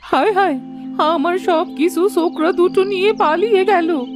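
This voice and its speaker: A woman's voice: very high-pitched, normal speed, over music. A woman playing a blind, aggrieved old village granny in a children's cartoon, plaintive and scolding. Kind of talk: Bengali children's cartoon voice acting.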